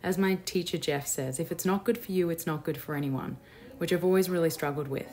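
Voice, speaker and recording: A woman's voice: lowish voice, fairly quick. A young woman reading an earnest, introspective voice-over for her vlog. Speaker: vlogger. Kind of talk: reflective scripted voice-over. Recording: voice-over with loud music.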